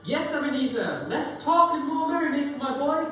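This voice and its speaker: Jolly older gentleman acted by a young woman, hearty, high voice, theatrical, strong echo. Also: animated, moderate pace, soft background music. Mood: fatherly, teasing. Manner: hearty, booming exclamations. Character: jolly, hearty older male employer, festive and fatherly. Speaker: youth stage actor. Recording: very echoey hall, distant mic.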